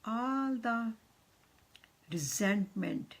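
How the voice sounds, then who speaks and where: Slow, breathy woman guiding meditation. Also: a little high, animated. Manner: slow, soft, half-whispered guidance. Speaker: meditation guide. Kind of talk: guided meditation.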